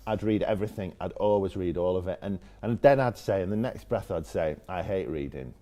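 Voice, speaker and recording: A man's voice: low voice, fairly quick, warm tone. A man with a Northern English accent telling casual personal anecdotes in an informal, self-deprecating way, as an interview guest. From Leeds, northern England.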